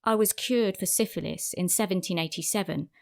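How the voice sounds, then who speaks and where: An actress playing an embittered, proud older former matron, formally voicing a letter of grievance in an audio drama. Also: female voice, mid-range voice, fairly quick, gravelly, close to an everyday voice. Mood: aggrieved. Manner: formal, aggrieved.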